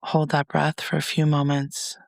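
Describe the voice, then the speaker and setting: A man leading a guided meditation as a reflective monologue, speaking in a soothing, measured, gentle way with pauses. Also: a little high, monotone, gravelly, no echo.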